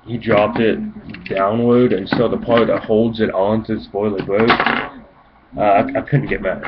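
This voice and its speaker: A seventeen-year-old male with a speech impediment that blurs his words, giving a hesitant, rambling amateur toy review in a casual way. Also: on the low side. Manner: hesitant, self-conscious, halting phrases. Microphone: home video camera. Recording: muffled lo-fi camera mic, clear noise.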